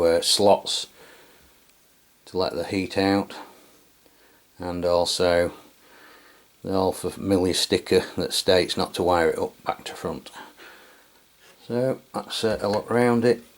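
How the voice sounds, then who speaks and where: A man's voice: low-pitched, takes it slowly, long pauses. A man from England giving a casual, hesitant product review with many fillers. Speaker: radio reviewer. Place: home radio room.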